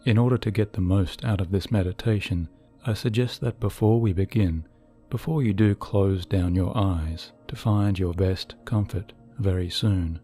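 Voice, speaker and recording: A man narrating a scripted hypnotic guided meditation in a soothing, measured, deliberate manner. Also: lowish voice. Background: soft background music.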